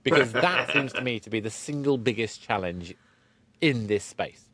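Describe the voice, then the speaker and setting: Conversational man hosting a podcast, halting delivery. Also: mid-range voice, expressive, fairly bright tone, no echo. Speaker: podcast host.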